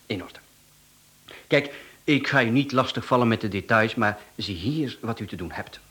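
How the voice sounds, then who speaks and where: An actor playing an unflappable, courteous contract killer and swindler in a radio play, speaking with a Flemish accent and businesslike politeness. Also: male voice, medium pitch, animated, moderate pace, a bit bright, lightly acted. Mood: businesslike, reassuring.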